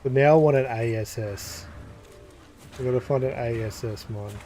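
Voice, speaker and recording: A man giving casual commentary as he plays a game, relaxed and thinking aloud. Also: medium pitch, normal speed, slight background noise. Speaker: gaming YouTuber.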